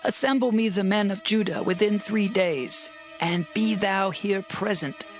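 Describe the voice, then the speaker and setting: A man's voice: high-pitched, measured pace, soft background music. An actor playing a grave, commanding king in a dramatized scripture, with declamatory, authoritative delivery.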